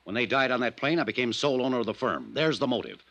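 A man's voice: medium pitch, animated, fast talker, a bit bright. An actor playing a bitter, defensive businessman under suspicion, in earnest 1950s radio drama style.

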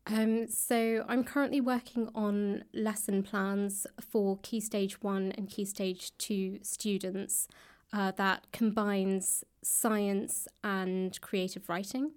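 A woman's voice: medium pitch, flat delivery, takes it slowly, a little mellow, smooth. A woman giving thoughtful, explanatory answers as an interview guest in a conversational style. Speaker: interview guest, author and actor.

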